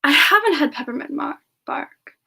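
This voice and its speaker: A woman playing a sweet, affectionate young female character in soft semi-ASMR chat, gently answering listeners with endearments. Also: sits high, expressive, moderate pace, gravelly, heavily acted. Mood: sweet, shy. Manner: gentle, affectionate, addresses listeners as dear and love. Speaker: streamer answering chat and singing requests.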